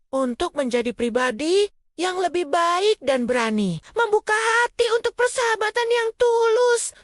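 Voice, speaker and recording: Extremely high-pitched woman telling a fable. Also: dry recording, clean studio sound. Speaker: storyteller. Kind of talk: scripted narration of a children's fable.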